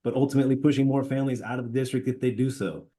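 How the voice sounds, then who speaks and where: A man's voice: mid-range voice, fairly even intonation, very fast, warm tone. A man who is a parent and school staff member, reading an earnest prepared public comment in an online meeting. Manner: earnest, read from a prepared statement.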